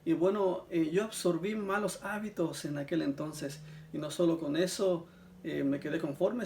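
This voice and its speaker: A man's voice: on the high side, gravelly, darkish tone, slight background noise. A man from Mexico with a Mexican accent, sharing his personal religious testimony earnestly and addressing listeners as brothers. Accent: Mexican Spanish.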